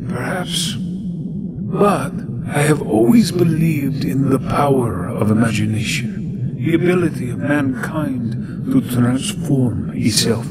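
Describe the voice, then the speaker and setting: A man playing a proud, visionary dead inventor in an audio drama, speaking in a grandiose, formal, deliberate way. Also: on the high side. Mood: resolute, wistful. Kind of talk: theatrical audio drama monologue and dialogue. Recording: very noisy simulated wireless transmission.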